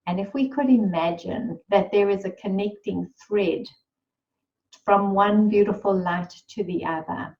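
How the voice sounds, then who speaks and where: Slow, soothing woman guiding meditation. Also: medium pitch, a little mellow, muffled recording. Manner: slow, soothing and unhurried, with long pauses. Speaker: meditation guide. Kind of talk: guided meditation.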